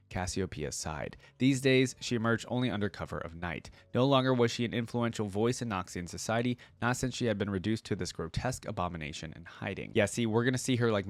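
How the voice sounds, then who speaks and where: A man's voice: on the low side, dry recording. A man hosting a reaction video, reading a story aloud expressively and adding casual asides of his own.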